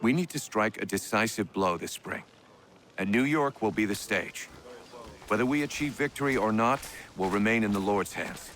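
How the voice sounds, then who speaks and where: An actor playing a resolute older army commander in a video game, formal, measured and authoritative. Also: male voice, on the low side, expressive, fairly bright tone, slight background noise. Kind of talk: game cutscene dialogue.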